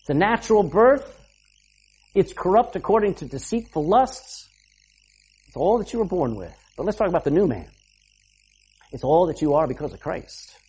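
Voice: medium pitch, very animated, normal speed, long pauses, warm tone, husky, low-quality recording. A preacher giving an emphatic sermon, asking the listeners rhetorical questions and punctuating points with "amen".

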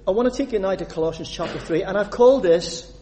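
A man from Northern Ireland preaching a sermon, putting questions to the congregation and reading scripture aloud in an animated way. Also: high voice, fast, slight background noise. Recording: long hall reverb.

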